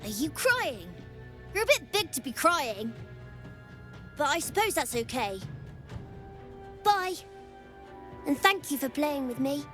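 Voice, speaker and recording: Casting: otherworldly child for a fantasy game, high-pitched, lonely, solemn, stubborn. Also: female voice, very animated, slow pace, long pauses, a bit bright, faint music behind, heavily acted. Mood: tender. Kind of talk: video game character dialogue.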